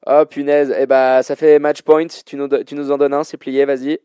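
A man's voice: medium pitch, little pitch movement, normal speed, dark tone, clear, resonant tone, no room sound, clean studio sound. A man hosting a basketball trivia quiz with casual, slangy banter. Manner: informal, colloquial slang. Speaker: quiz show host.

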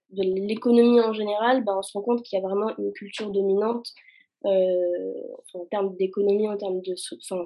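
A woman's voice: mid-range voice, dark tone, poor audio. A young woman speaking in a casual conversation, hesitant and searching for words with many fillers.

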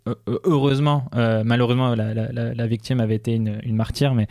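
A man's voice: mid-range voice, fairly even intonation, quick pace, gravelly, mellow. A young man co-hosting a casual podcast, hesitant and full of restarts, laughing often; his first language is Dutch. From Belgium and Morocco. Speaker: podcast co-host. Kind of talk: casual conversation.

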